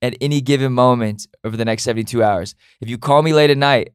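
A man's voice: medium pitch, fast talker, clean tone, no room sound, studio quality. A young man co-hosting a podcast, chatting casually in an upbeat, joking way. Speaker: podcast co-host.

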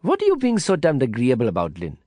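A man's voice: medium pitch, wildly swooping pitch, fast, mellow, no room sound. Man putting on a brash, foul-mouthed female reporter. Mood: wary. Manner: sassy, profane, teasing.

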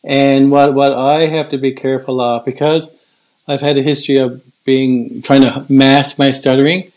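A man's voice: mid-range voice, flat delivery, normal speed, a little mellow. Older man who stutters, earnest and reflective. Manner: stutters, with repeated words and restarts. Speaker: guest. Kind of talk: casual conversation.

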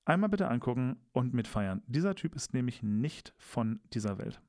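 A man's voice: medium pitch, very animated, moderate pace, mellow, no room sound. Enthusiastic rambling man, dry close sound. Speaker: podcast co-host. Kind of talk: casual chat.

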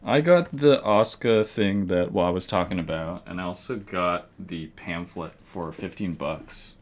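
A man with a low voice chats easily as a podcast guest. His remarks are dry and laid back. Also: moderate pace. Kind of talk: casual chat.

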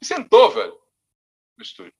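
A man with a Salvador accent, a musician telling stories in a casual interview in an animated, talkative way. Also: normal speed. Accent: Salvador, Bahia. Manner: animated, often says "velho". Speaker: musician and bandleader.

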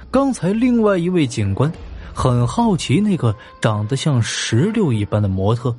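Expressive male mystery narrator. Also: mid-range voice. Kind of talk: dramatic scripted narration of a mystery story.

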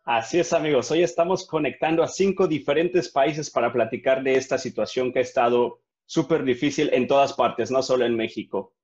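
A man's voice: medium pitch, a little fast, few pauses, darkish tone. A man with a Mexican accent hosting a casual podcast over a video call, friendly and asking questions. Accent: Mexican Spanish. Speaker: podcast host. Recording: slightly dull lo-fi sound.

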